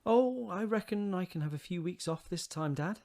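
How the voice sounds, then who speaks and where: An actor playing an ardent, gentle young man in a period audio drama, speaking earnestly and tenderly. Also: sits high, lively intonation, moderate pace. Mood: easygoing. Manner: earnest, tender, old-fashioned phrasing. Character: ardent, romantic young male sculptor, gentle suitor.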